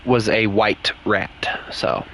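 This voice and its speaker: A young man with a Southern US drawl streams a game and chats with the players he meets. His voice is bright and forward, and he rambles in a loose, candid way. A faint hiss sits under his talk. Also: on the low side, fairly even intonation, slow. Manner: rambling, candid, talks to other players while playing. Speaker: gaming streamer. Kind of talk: casual live-stream chat.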